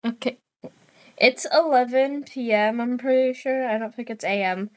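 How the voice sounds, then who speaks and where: A woman's voice: fairly high pitch, clean tone. Giggly woman teasing a chatbot. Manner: giggly, teasing, deliberately jokey. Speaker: show host. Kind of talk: casual chat with a chatbot.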